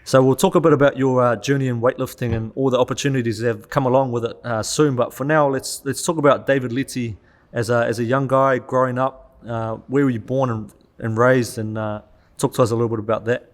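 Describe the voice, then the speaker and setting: A man's voice: mid-range voice, fairly even intonation, a little fast. A man hosting a casual podcast interview, asking questions in an upbeat, encouraging way. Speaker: podcast host.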